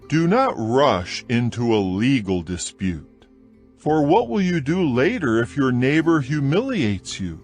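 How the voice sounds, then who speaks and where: A man reading scripture aloud in a dramatized audio production, with a slow, measured, solemn delivery and faint music behind him. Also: medium pitch. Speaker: scripture reader. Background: soft music.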